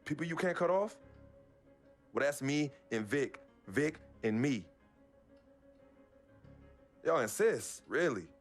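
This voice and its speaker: A man's voice: fairly high pitch, expressive, takes it slowly, pauses often. A young basketball player in a game cutscene, defensive and fiercely loyal. He talks earnestly in casual street phrasing, played naturally, with music underneath. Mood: hurt, indignant. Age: young adult. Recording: music behind the voice.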